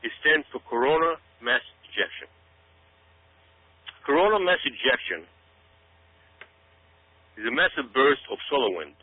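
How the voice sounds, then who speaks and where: A man giving radio commentary straight to his listeners, speaking emphatically and dramatically, repeating himself and often checking in with "okay?". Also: mid-range voice. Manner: dramatic, hyping build-up. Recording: tinny phone-like sound with hum.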